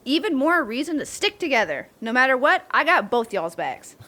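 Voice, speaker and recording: A woman playing a bold, playful, prankish young camper in a roleplay audio drama, with an excitable, teasing, slangy way of talking. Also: high-pitched, normal speed, exaggerated voice. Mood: excitable, loyal. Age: young adult.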